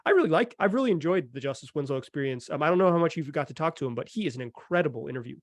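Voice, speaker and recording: A man's voice: fairly high pitch, animated, rapid-fire, dry recording. A man hosting a sports podcast, chatting casually and enthusiastically about basketball in informal language.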